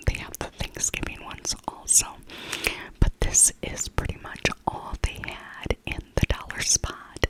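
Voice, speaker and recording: Whispering woman, casual ASMR voiceover. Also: poor audio. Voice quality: unvoiced breathy whisper. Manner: gentle, repeats phrases slowly and musingly. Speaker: ASMR creator narrating a shopping trip.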